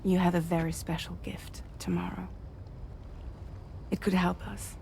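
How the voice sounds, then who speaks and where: A woman's voice: on the low side, level tone, a little slow, gravelly, darkish tone, slight background noise. An actress playing a kindly, assured woman leader in a video game cutscene, composed and welcoming. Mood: warm, welcoming.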